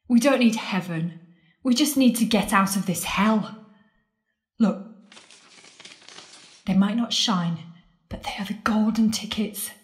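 A woman's voice: mid-range voice, lightly acted. Actress as defiant worldly woman, audio drama, intense, sardonic, challenging, roomy echo. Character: Marrie, defiant worldly woman pleading with her lost love. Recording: echoey, roomy sound.

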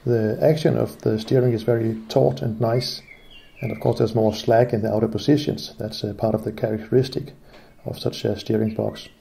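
A man calmly explaining his classic car steering repair step by step, pausing with frequent "uh"s. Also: on the low side, vocal fry, slight background noise. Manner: calm, methodical, frequent "uh" pauses. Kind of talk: narrated garage repair vlog.

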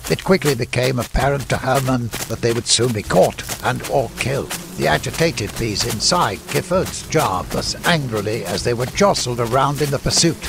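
A man's voice: medium pitch, gravelly. A man narrating a fantasy audiobook in a scripted, dramatic storytelling style. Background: music.

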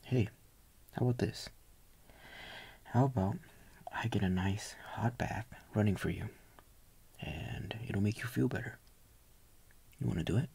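A young man playing a tender, doting boyfriend in a soft ASMR roleplay, gentle, coaxing and reassuring, with a breathy voice often near a whisper. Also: lowish voice, a little slow, pauses often, heavily acted. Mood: tender, soothing.

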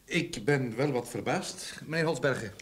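An actor playing a courteous, guarded company boss with a polite formal manner in an old radio drama. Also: male voice, mid-range voice, moderate pace, close to an everyday voice. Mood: guarded, curious. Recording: noisy old radio play recording.

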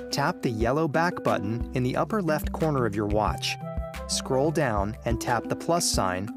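A man narrates a scripted how-to guide in a medium-pitched voice. Music plays clearly behind him as he gives step-by-step instructions. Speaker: tutorial narrator.

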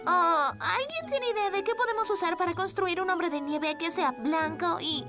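A woman voicing a cheerful, caring little girl who leads her friends in a dubbed children's cartoon, expressive and encouraging. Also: extremely high pitch, moderate pace, over music. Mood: excited, encouraging.

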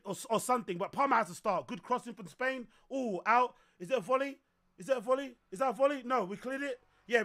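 A young man streaming a football match, calling the play, swearing and reacting loudly with a voice that's going hoarse, on a headset in a small room. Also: high-pitched. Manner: excitable, shouting, swears often. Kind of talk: live watch-along commentary.

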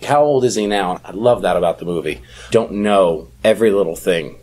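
A man with a fairly low, husky voice talks casually as a podcast co-host. He is conversational and tends to put questions to his partner. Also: fairly quick, a little mellow. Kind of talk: casual chat.

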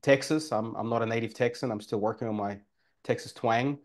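A man's voice: mid-range voice, brisk. A man in his forties with an Australian accent, talking casually as a guest on a video call, with many fillers and restarts. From former Soviet Union, raised in Australia. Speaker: guest entrepreneur. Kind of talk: casual interview talk. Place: home room by a fireplace. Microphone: video call webcam.

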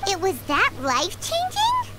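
A woman voicing a tiny, childlike female fairy companion in a video game, blunt and cheeky, talking in a squeaky voice. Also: wildly swooping pitch, unhurried, exaggerated voice. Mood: skeptical. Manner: squeaky, refers to self in third person. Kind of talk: video game character dialogue.

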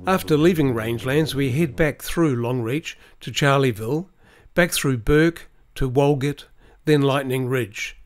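A middle-aged man giving a calm, explanatory voice-over about planning a trip. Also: medium pitch. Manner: measured, explanatory. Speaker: vlogger. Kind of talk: scripted voice-over narration.

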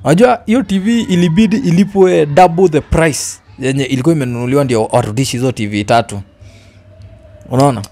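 Male, 20s, Kenyan accent. Quick, chatty storyteller who explains through questions and repetition. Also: on the high side, warm tone, faint music behind. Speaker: storyteller guest. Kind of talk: casual storytelling, code-switching chat. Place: indoors on a living-room sofa. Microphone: handheld microphone.

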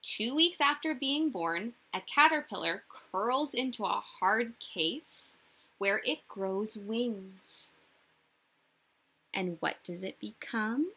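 Young woman reading to kids, animated and bright. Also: medium pitch, takes it slowly, slightly rough, poor audio. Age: young adult. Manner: expressive, sing-song, addressing children with questions. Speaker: teacher reading aloud to young children. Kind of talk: children's picture book read-aloud. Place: small indoor room.

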